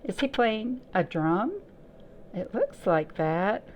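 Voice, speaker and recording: Slow, very animated woman storyteller for children. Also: medium pitch, slight background noise. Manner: encouraging, asking simple questions. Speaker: library storytime reader. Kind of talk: read-aloud and chat for young children.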